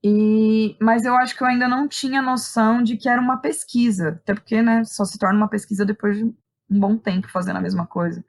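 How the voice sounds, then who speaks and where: A woman's voice: on the high side, steady tone, fairly quick. A woman with a Brazilian accent speaks as an interview guest, a playwright and director thinking aloud and often checking in with short tag questions. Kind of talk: reflective conversational interview.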